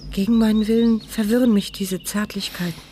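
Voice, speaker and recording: A woman's voice: medium pitch, steady tone, fairly quick, dark tone, slight background noise, lightly acted. An actress playing an anxious, wry paralysed woman who narrates her inner thoughts in a radio crime drama. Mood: frightened. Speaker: first-person narrator. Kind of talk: German radio crime drama, interior monologue.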